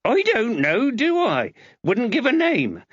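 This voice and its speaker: Man voicing a shrill, huffy older housekeeper woman. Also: huge pitch swings, slow, fairly bright tone, no echo, muffled recording, heavily acted. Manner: huffy, complaining.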